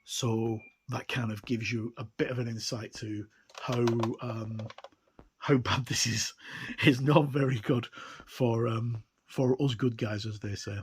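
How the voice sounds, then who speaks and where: A man giving a casual, unscripted product walkthrough as a video reviewer, talking in a halting, conversational way. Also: mid-range voice, fairly even intonation, a little hiss or hum. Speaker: game reviewer and video presenter.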